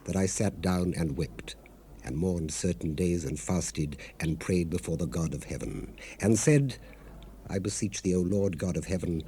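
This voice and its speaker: An actor playing a devout, humble Old Testament cupbearer in a dramatized scripture recording, speaking with solemn, measured, formal fervor. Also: male voice, low voice, mellow. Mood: sorrowful, solemn, then fervent pleading. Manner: solemn, fervent, measured, formal archaic delivery. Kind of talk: dramatized scripture reading, 1970s audio drama. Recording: noisy unprocessed cassette rip.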